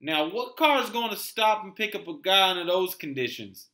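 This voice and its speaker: A man's voice: sits high, animated, sharp tone, pitched above the performer's own voice, heavily acted. A man voicing a chatty, folksy gas station attendant who talks colloquially and sounds incredulous, in a dramatic read-aloud. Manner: colloquial, drawn-out country talk. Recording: roomy echo, clear background noise.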